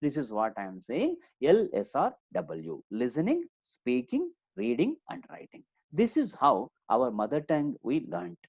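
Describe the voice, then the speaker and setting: Lively male trainer. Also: mid-range voice, a little slow, gravelly, few pauses, slightly rough. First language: Telugu. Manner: repeats key points and quizzes the audience. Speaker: communication trainer. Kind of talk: live online lecture.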